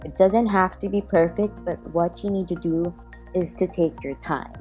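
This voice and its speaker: Female beauty vlogger, slightly low voice, casual and self-deprecating tutorial narration. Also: fairly even intonation, moderate pace, mellow. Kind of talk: casual makeup tutorial voice-over. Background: background music.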